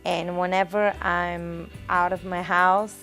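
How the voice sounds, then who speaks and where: A woman from Bulgaria with a Bulgarian accent, answering interview questions in halting English and correcting herself as she goes. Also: fairly low pitch, little pitch movement, fairly bright tone, faint music behind. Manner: halting, simple learner's English with self-corrections.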